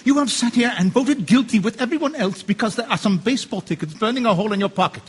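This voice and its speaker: A man acting an earnest, principled juror in an audio drama, challenging others in a deliberate way. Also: sits high, slightly rough, long reverb tail. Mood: stern indignation. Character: indignant, principled juror demanding moral conviction. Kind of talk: stage-style radio drama acting.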